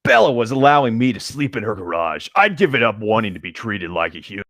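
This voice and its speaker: Playful man with a lively, swinging pitch. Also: fast, no room sound, studio quality. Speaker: co-host reading fan fiction aloud. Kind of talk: casual banter and informal story reading.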